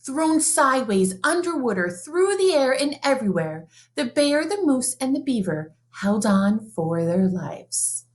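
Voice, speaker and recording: A woman reading a children's picture book aloud in an expressive, sing-song storybook manner, like a teacher. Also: high-pitched, measured pace, few pauses.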